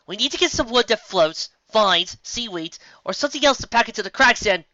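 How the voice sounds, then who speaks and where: A reader voicing an eager, bouncy young female pony adventurer who talks in an excitable, chatty way. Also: medium pitch, fairly quick, no room sound, highs cut off, pitched above the performer's own voice.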